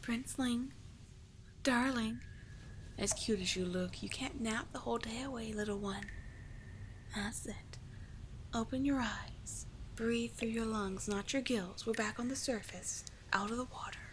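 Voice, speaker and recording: Seductive sea witch played by a woman, ASMR roleplay. Breathy, hypnotic, unhurried, mid-pitched, over clearly noisy ambience. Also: natural voice. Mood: tender, teasing. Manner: breathy, whispery, coaxing, lingering on words. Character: seductive, doting, manipulative female sea witch of the deep caves. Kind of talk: ASMR roleplay, slow hypnotic sleep-aid delivery.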